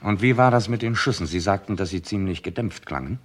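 An actor playing a brisk, sceptical police detective in charge, in a German radio crime drama, with a businesslike, probing manner. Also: male voice, low-pitched, slightly rough. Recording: faint noise, vintage radio drama.

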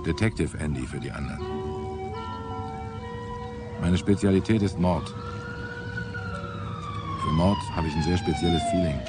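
An actor playing a laconic, reflective, middle-aged homicide detective narrating a noir radio drama over music. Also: male voice, low-pitched, wildly swooping pitch, measured pace, pauses often, exaggerated voice. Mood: dry, reflective. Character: world-weary middle-aged New York homicide detective.

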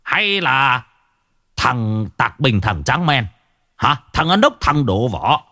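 Guy doing a grumpy old husband in an audio drama, snarly n sweary, pitch jumping all over. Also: sing-song, slow pace, sharp tone, heavily acted. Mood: bitter, accusing. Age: older. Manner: snarling, scolding, rhetorical questions. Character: hot-tempered, foul-mouthed old husband, bitter and stubborn.

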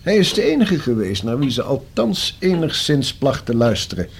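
An actor playing a formal, precise and long-winded notary in a Dutch radio play, with a slightly husky voice. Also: male voice, medium pitch, sing-song, moderate pace, slight background noise. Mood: earnest, justifying. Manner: formal, precise, pedantic legal phrasing. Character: formal, discreet, long-winded male notary explaining a will.

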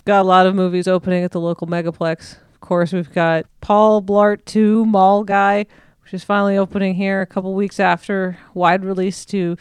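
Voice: medium pitch, little pitch movement, normal speed, clean tone, no room sound, lightly acted. A performer playing a chipper, dry-witted small-town arts correspondent on a comedy radio show. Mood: upbeat, dry. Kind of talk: comedy radio review segment.

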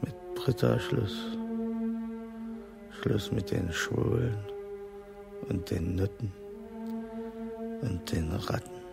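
An actor playing a bitter, brooding man close to snapping, in a radio play, gravelly voiced. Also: deep voice, drawn-out words, pauses often, over loud music, heavily acted.

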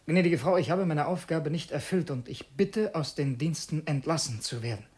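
An actor playing a cunning infiltrator posing as a loyal secretary, in a measured, formal, husky radio drama style. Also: male voice, a little high, expressive, darkish tone. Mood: controlled, formal. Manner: measured, brooding diary narration, formally courteous to employers. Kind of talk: German radio drama acting.

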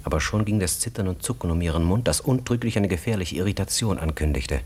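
A man's voice: deep voice, lively intonation, fast talker, darkish tone, slight background noise. A man giving an intense, emphatic literary narration in a radio drama. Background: prominent music.

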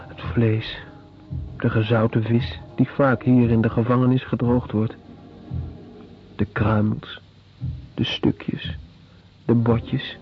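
An actor playing a weary male prisoner in a radio drama, speaking in a measured, deliberate, descriptive monologue. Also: mid-range voice. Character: weary male prisoner recalling squalid penal-colony life. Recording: dull radio recording with music.

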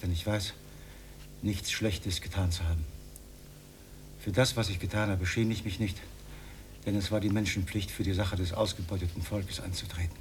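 An actor playing an earnest, pedantic, lonely old man in a radio drama, speaking formally. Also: on the low side. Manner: solemn, formal, wordy. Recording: faint background noise, old radio recording.

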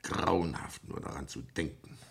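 An actor playing a grave, commanding police prefect in a German radio crime drama, curt and deliberate. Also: male voice, a bass voice, vocal fry, a bit bright, breathy edge, heavily acted. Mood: shaken, grave.

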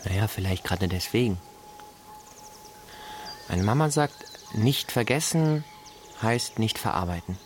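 A man's voice: on the low side, animated, measured pace, long pauses, a little hiss or hum. An actor playing an awkward, naive young man in a radio drama, hesitant and stammering in an informal way. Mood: thoughtful. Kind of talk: naturalistic German radio drama acting.

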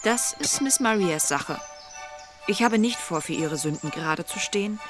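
A woman's voice: mid-range voice, lively intonation, normal speed, music in the background, lightly acted. An actress playing a defiant, jealous young society lady who complains snippily, in a German radio play.

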